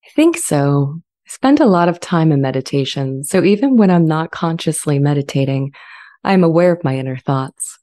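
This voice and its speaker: A woman playing a gentle, thoughtful sentient AI in a dramatized reading, with a calm, measured and reflective delivery. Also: sits low. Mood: serene, thoughtful. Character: sentient female-voiced AI, gentle, serene and thoughtful. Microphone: large studio condenser mic up close.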